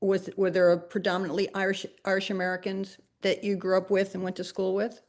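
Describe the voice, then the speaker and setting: A woman's voice: mid-range voice, monotone, fairly quick, darkish tone. A woman interviewing a guest, asking questions that she restarts and rephrases as she speaks. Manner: asks stacked, rephrased questions.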